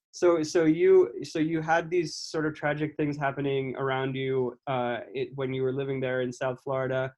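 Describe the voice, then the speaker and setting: A man's voice: mid-range voice, darkish tone, dull audio. A man interviewing casually over a video call, often repeating and rephrasing himself mid-sentence. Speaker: podcast interviewer. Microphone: video call microphone.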